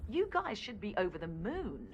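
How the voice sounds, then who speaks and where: Lively, idealistic scientist. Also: female voice, mid-range voice, normal speed, highs cut off, slight background noise. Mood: enthusiastic. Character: upbeat, idealistic scientist pitching her serum. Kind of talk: video game dramatic dialogue.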